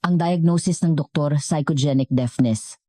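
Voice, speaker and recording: A woman's voice: deep voice, normal speed, mellow, dry recording, clean studio sound. A woman with a Filipino accent reading a scripted, dramatic story as a storyteller.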